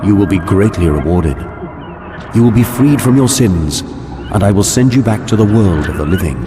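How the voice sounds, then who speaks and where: A man's voice: lowish voice, lively intonation, moderate pace, close to an everyday voice. A man playing a solemn, commanding angel, lord of purgatory, in a video game, speaking in a stately, formal, proclaiming way. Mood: solemn promise. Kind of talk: video game cutscene acting. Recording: music behind the voice.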